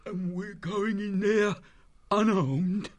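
A dim, cheeky little animal henchman for an audiobook drama. The voice is extremely high and whiny, drawn out slowly, with a shaky, excitable manner. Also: heavily acted. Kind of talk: dramatised audiobook character acting.

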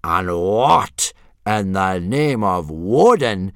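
A man voicing a loud, ill-tempered, pompous Viking warrior instructor barking commands in a children's storybook. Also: low voice, animated, very slow, clear, resonant tone, no room sound, clean studio sound, heavily acted. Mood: blustering, outraged. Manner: bellowing, blustering, pompous commands.

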